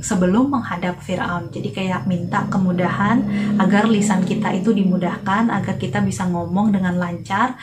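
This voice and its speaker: A young woman from Indonesia talks through exam tips for her channel in a loose, chatty way. She leans on fillers and drops English phrases into her sentences. Her pitch sits in an ordinary middle range. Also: slight background noise. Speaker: vlogger. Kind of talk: casual tips vlog.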